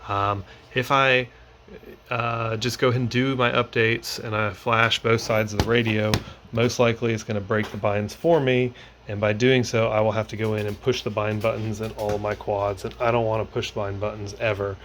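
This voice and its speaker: A man's voice: fairly low pitch, level tone, normal speed, a bit bright, slight background noise. A man hosting a hands-on tech tutorial, explaining each step informally in casual language. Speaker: tutorial host.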